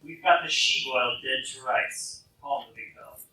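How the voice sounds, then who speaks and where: A man's voice: medium pitch, moderate pace, pushed and bright. An actor playing a menacing, bossy male cyborg villain in a radio play. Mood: bossy, threatening. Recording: echoey hall recording, distant, faint music.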